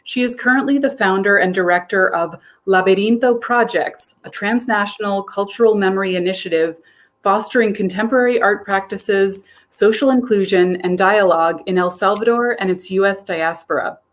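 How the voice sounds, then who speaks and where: A woman's voice: medium pitch, normal speed. Encouraging woman curator interviewer. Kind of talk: museum talk introduction and interview.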